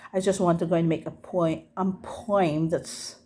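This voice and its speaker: A woman's voice: lowish voice, fairly even intonation, measured pace, mellow. A woman with a Filipino accent, a native Tagalog speaker, casually reviewing a book in a vlog. She switches between English and Tagalog, laughs easily, repeats phrases and hunts for words. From Philippines. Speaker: book vlogger.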